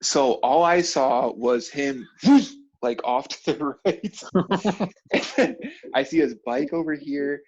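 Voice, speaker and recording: A man's voice: mid-range voice, takes it slowly. A man in a casual chat, telling anecdotes in slangy, profane language and laughing easily as he goes. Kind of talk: casual chat, animated storytelling. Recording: dull lo-fi call audio.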